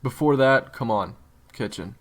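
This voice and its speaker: A man playing a jaded, blunt monster hunter in an audio roleplay, speaking frankly and earnestly to a rookie, with profanity and halting delivery. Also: medium pitch, natural voice. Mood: earnest, weary. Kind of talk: dramatic audio roleplay monologue.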